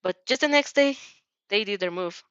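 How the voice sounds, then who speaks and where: A woman's voice: mid-range voice, expressive, normal speed, a bit bright, clear, resonant tone, no room sound, dull audio, close to an everyday voice. A woman voicing an anxious teenage girl hero student in an anime fan story, hesitant and faltering. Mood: anxious, confessing.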